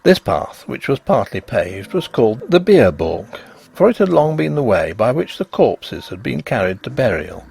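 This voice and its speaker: A man narrating a ghost story in an expressive, measured and dramatic scripted reading. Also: medium pitch, vocal fry, breathy edge.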